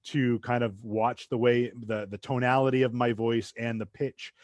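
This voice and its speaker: Brief: male podcast host, mid-pitched, light Massachusetts accent, rambling casual delivery, dry close sound. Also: little pitch movement, normal speed, darkish tone, no echo. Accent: light Massachusetts, drops R's when fast. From southeastern Massachusetts. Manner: rambling, loose, full of asides. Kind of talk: casual interview chat.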